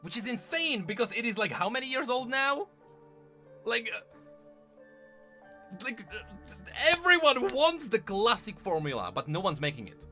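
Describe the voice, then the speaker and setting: Animated, high-pitched male streamer. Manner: emphatic, repeats phrases for stress. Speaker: livestreamer commenting on gaming news. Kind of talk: casual livestream commentary to chat. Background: background music.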